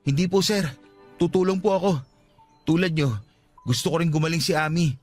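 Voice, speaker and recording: Radio drama lead: actor as devoted young Filipino man, fairly high voice, patient, gentle, respectful, music beneath. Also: fairly quick, lightly acted. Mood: earnest, respectful. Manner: soft-hearted, earnest, respectful.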